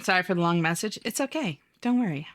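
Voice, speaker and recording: A woman's voice: on the low side, expressive, fast. A woman streaming a game, chatting casually and upbeat with her viewers, full of "like" and "um" and the odd swear. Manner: reads viewer messages aloud. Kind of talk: casual live-stream chat, answering viewers.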